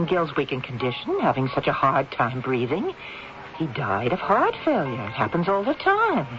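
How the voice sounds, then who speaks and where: An actress playing a cold, scheming wife plotting her husband's death, brisk, controlling and dismissive, in 1970s radio drama style. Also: deep voice, huge pitch swings, music in the background. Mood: cold, coaxing. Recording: dull old radio recording.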